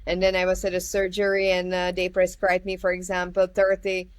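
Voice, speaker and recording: A woman hosting an interview, asking questions in a halting way as she searches for words. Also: lowish voice, monotone. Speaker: host.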